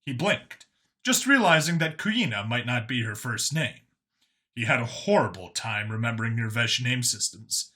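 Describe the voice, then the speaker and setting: A male audiobook narrator reads scripted fiction in a mid-range voice. The tone is bright and forward, suited to prose storytelling. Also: mid-range voice, expressive, normal speed.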